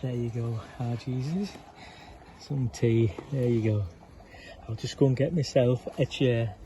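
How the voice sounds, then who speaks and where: A storyteller voicing an excitable, clumsy little tax collector man who is flustered, breathless and apologetic. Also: medium pitch, measured pace, slight background noise, natural voice, poor audio. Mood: nervous.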